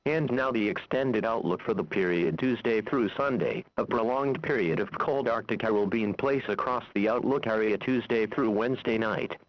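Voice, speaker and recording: Muffled synthetic American male weather radio announcer. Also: mid-range voice. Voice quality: synthetic text-to-speech voice. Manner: formal, impersonal, measured. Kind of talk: scripted weather bulletin reading.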